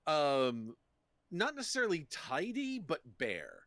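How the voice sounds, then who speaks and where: A man's voice: a little high, wildly swooping pitch, measured pace, dry recording. Man talking casually, dry close sound. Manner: thinking out loud. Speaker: player in a tabletop roleplaying game. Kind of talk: casual improvised character description.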